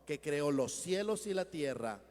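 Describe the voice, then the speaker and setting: A man opens a church conference with a formal welcome to the guests. His voice sits fairly high for a man. He is heard in a hall with a long reverb. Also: lively intonation, a little slow, a little mellow. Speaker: event host.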